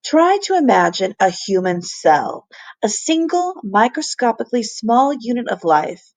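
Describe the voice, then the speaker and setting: A woman voicing an earnest, kindly male professor in a storybook, explaining things deliberately and with emphasis. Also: a little high, sing-song, a little mellow, pitched above the performer's own voice. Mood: earnest, explanatory.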